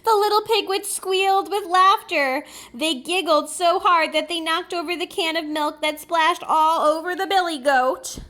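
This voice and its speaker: A woman reading picture books aloud to young children in an expressive, deliberate way, with little asides. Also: extremely high pitch. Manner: slow, deliberate, expressive, pausing over words for young listeners. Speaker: preschool teacher reading aloud.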